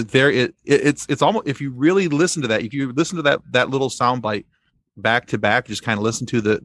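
A man speaking as a podcast guest in casual fan discussion, haltingly repeating phrases as he explains. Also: lowish voice, creaky, no echo, clean studio sound.

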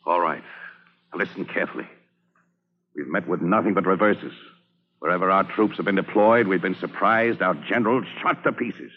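A man's voice: low-pitched, moderate pace, husky, lo-fi sound. A man playing a stern, commanding army general, authoritative and emphatic, in old-time radio drama style. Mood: grave, commanding. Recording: band-limited vintage radio recording.

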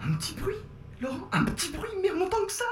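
A man's voice: extremely high pitch, sing-song, fast. An actor playing a frantic man obsessed with a noise, speaking insistently and repeating himself in an audio drama. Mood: pleading. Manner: agitated, insistent repetition. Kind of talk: French audio fiction acting.

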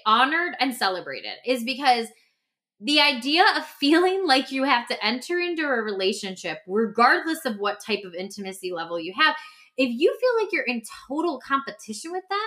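A woman hosting a podcast as a coach, talking casually and emphatically in an animated, informal way. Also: sits high. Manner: animated, emphatic, acts out imagined quotes; informal, peppered with "like". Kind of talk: casual conversational podcast talk.

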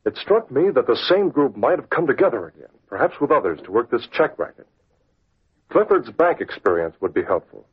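An actor playing a decisive, authoritative masked crime-fighter in an old radio drama, with clipped delivery. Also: male voice, mid-range voice, sing-song, a little fast, slightly rough. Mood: thoughtful. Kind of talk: 1940s radio drama acting. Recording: tinny, scratchy old radio recording.

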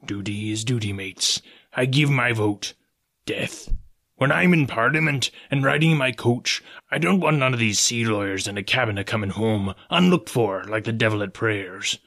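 A man acts out a cunning pirate sea cook from an adventure storybook. He is domineering and scheming, scolding his mates in rough, rustic sailor's speech. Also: medium pitch, normal speed, a bit bright. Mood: coldly calculating.

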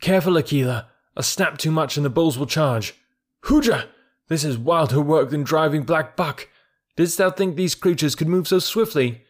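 A man's voice: medium pitch, animated, moderate pace, pitched above the performer's own voice, lightly acted. A man voicing a bold young jungle boy who gives orders eagerly. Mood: excited. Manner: eager, commanding calls.

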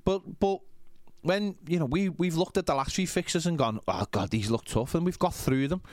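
A man's voice: a little high, animated, normal speed, dry recording. A man talking as a podcast panellist in casual sports chat, opinionated and emphatic, with a rambling delivery.

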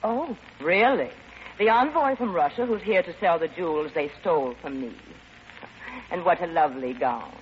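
An actress playing a haughty, catty duchess, arch and falsely sweet, in an old-time radio drama. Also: female voice, lowish voice, expressive, moderate pace, lightly acted. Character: haughty, catty aristocratic duchess with sugary spite. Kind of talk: 1940s-style radio play acting. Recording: muffled old radio broadcast, faint noise.

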